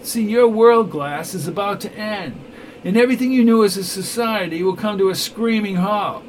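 A man's voice: high voice, normal speed, slight background noise, voice pushed up, natural voice. A man voicing a cold, scheming male villain who speaks with clipped, imperious menace. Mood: taunting.

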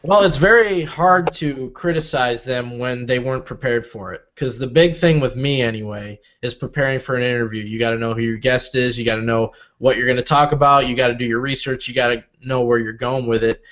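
A man in his thirties answering interview questions in a relaxed, rambling, measured way. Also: mid-range voice, lo-fi sound. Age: 30s. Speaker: interview guest. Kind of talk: casual interview talk.

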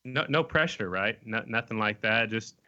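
A man's voice: fairly low pitch, normal speed, pushed and bright, muffled recording. A man hosting a podcast interview, asking questions in a casual, friendly way. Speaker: podcast host.

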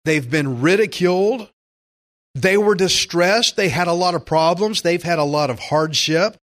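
A man's voice: high voice, unhurried, fairly bright tone. A man preaching a conversational Bible-teaching sermon as a pastor, plainspoken and emphatic, repeating phrases for stress.

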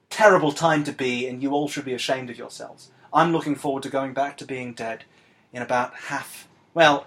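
A man playing a dead Argentinian woman writer as a comic podcast host, world-weary and scornful, with a halting, deadpan, exasperated delivery. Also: on the high side. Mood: weary, grumbling. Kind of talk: comic in-character monologue and dramatic reading.

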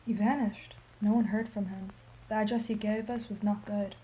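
An actress playing a sorrowful, dignified old Armenian woman in a radio play, telling her story in a solemn, measured, emphatic way. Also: mid-range voice, steady tone, mellow, lightly acted, rough recording. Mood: pleading, resolute.

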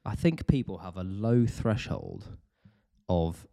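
A man's voice: low-pitched, lively intonation, slow pace, creaky, warm tone. A man co-hosting a podcast, chatting in a wry, easygoing way and laughing often. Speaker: podcast co-host. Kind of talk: casual podcast chat.

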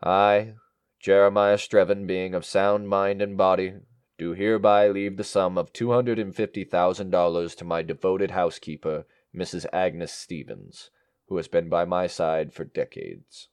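An actor playing an unflappable male attorney in an audio drama, speaking in a measured, officious way. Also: low voice, darkish tone, smooth, no room sound, studio quality. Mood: formal, declarative. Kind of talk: audio drama acting, reading a will.

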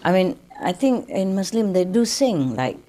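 Lady, mid pitch, chatting informally to her group, lots of little tag questions. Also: big pitch swings, normal speed, crowd noise. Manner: chatty, often repeating short tag questions. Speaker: spiritual teacher leading a group gathering.

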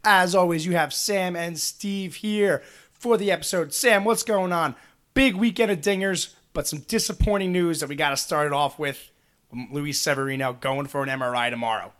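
A man co-hosting a sports podcast with a slightly raspy, hoarse voice, talking quickly and casually with frequent restarts. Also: a little high, a bit bright. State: hoarse after a busy weekend. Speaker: podcast co-host.

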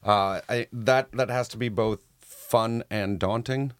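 A man interviewing a guest, framing his questions haltingly with many repeated words. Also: fairly low pitch, fairly even intonation, no echo, clean studio sound.

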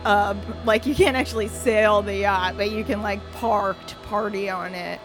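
A woman's voice: mid-range voice, fairly even intonation, a little slow. Laid-back woman in her thirties, chatting over music. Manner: laughing often, filler-heavy. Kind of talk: casual podcast chat. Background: music playing.

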